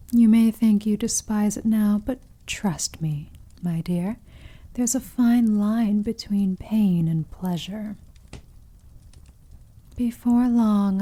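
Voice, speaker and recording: A seductive vampire mistress, acted by a woman in an ASMR roleplay, with a very dark, velvety tone. She speaks extremely slowly and possessively, purring condescending endearments. Her commands often melt into breathy whispers. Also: medium pitch.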